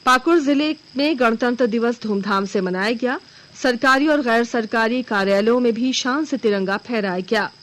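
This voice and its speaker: A woman with a fairly high voice reads a regional news bulletin. Her delivery is formal and measured, in the scripted style of studio radio news.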